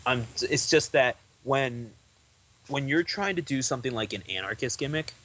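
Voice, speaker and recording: A young man chatting as a podcast guest, his thoughts tumbling out loosely. He peppers his sentences with "like" and doubles back on himself as he talks, in a mid-pitched voice. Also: animated, moderate pace, fairly bright tone, poor audio. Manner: rambling, full of "like" and restarts. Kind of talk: casual interview chat.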